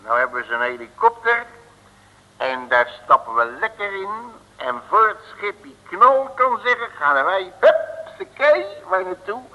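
A high, blustering old-radio detective. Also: male voice, very animated, unhurried, bright, forceful tone, exaggerated voice. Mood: cocky. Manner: colloquial, blustery, exclamatory. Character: bumbling, cocksure male detective, cheery and blustering. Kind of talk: old radio serial comedy acting. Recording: dull, hissy old radio recording, long reverb.

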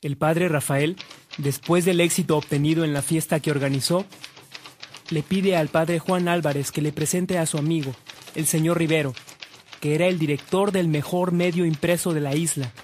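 An actor narrating a saint's life in a radio drama, as a sober storyteller reading from a script. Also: male voice, a little high, steady tone, normal speed, darkish tone, slight background noise, lightly acted. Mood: sober, storytelling.

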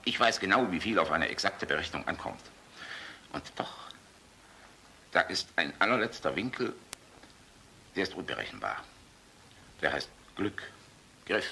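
A middle-aged actor playing a hard-drinking, cynical, charming air force general in a German radio play, gravelly and swaggering. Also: male voice, low voice, expressive, a little slow, pauses often, pushed and bright, a little hiss or hum, exaggerated voice. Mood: reflective. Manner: sardonic, flirtatious, swaggering. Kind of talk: German radio drama acting.

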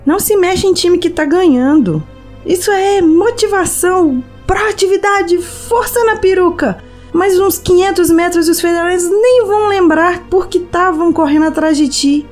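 A woman's voice: very high voice, measured pace, a little mellow. A Brazilian woman voicing a brash, pushy motivational coach inside a survivor's brain, bossy and slangy in an audio story. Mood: fired up. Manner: slangy, bossy pep-talking. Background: music.

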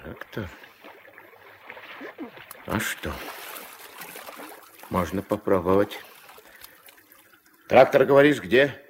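An actor playing a gruff, terse old riverboat skipper and grandfather in a 1970s Soviet radio drama, giving orders in colloquial speech. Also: expressive, drawn-out words, long pauses. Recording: noisy old mono radio play, engine rumble.

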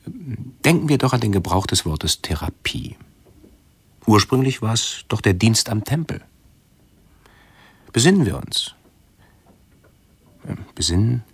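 Deep-voiced, anxious, pedantic hypochondriac. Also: male voice, gravelly, slightly rough, no room sound, heavily acted. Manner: pedantic, halting, self-interrupting, brooding aloud. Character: anxious hypochondriac man obsessively rehearsing pleas to doctors. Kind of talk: German radio play monologue.

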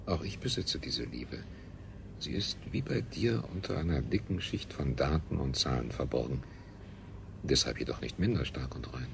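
An earnest scientist with a very deep, creaky voice. He declaims solemnly and pompously about high ideals, as in a 1970s science fiction radio play. The noisy recording shows its age. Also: expressive, normal speed, heavily acted. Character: earnest, high-minded male scientist preaching humanity.

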